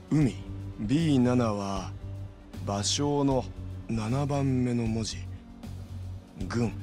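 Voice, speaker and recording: An actor playing an earnest, deferential young yakuza underling in a game cutscene, explaining things with a Hiroshima accent. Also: male voice, mid-range voice, a little mellow. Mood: deliberate. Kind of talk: video game cutscene acting.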